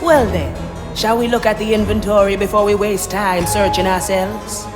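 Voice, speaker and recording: A woman's voice: mid-range voice, steady tone, moderate pace, lightly acted. An actress playing a bold female pirate navigator in a video game, with a dry, sardonic way of talking. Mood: curious, bold. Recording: long reverb over game music.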